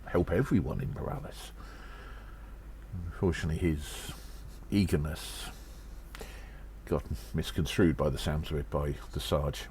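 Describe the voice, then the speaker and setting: Guy playing earnest undercover gnome agent, really deep voice, halting formal delivery, audio drama, bit of background noise. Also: a little mellow, poor audio. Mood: confiding, measured. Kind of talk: first-person audio drama monologue, spoken report.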